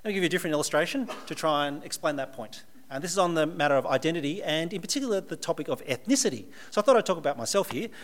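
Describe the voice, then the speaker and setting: A man's voice: on the high side, expressive, racing, few pauses, slight background noise. A man with an Australian accent, preaching a sermon to a live audience in a conversational way, with dry humorous asides.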